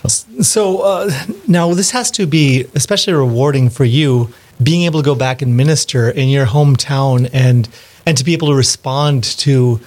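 A man's voice: medium pitch, moderate pace, hardly any pauses, darkish tone. A man with an American accent, interviewing a guest in a casual, conversational way. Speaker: interview host.

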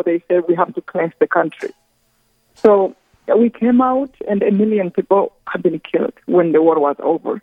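A woman from Rwanda, speaking slowly and earnestly as a guest on a phone interview, often pausing mid-thought. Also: mid-range voice, dry recording. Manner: slow, earnest, halting with careful pauses. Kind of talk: phone interview, personal testimony. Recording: tinny phone line.

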